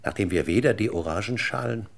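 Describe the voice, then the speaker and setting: Tiefer, höflich bohrender Kommissar. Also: male voice, on the low side, gravelly. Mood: insinuating. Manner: courteous, measured, insinuating. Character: politely probing, persistent male police inspector. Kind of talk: 1960s German radio play acting. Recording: noisy 1960s radio recording, clicks.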